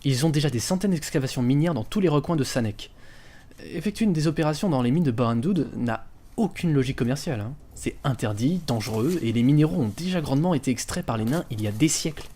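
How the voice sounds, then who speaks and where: A man's voice: medium pitch, expressive, fast, warm tone, natural voice. A man playing a cautious, skeptical adventurer and researcher in a fantasy audio drama, explanatory in manner. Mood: explaining. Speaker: voice actor in an amateur audio fiction.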